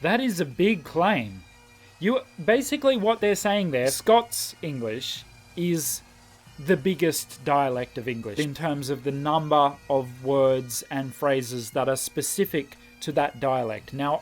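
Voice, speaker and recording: A man with a fairly high voice reads lines from a book and stops often to comment on them. He is animated and expressive, swinging quickly between plain reading and surprised reactions, with faint music playing under his voice. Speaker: language vlogger.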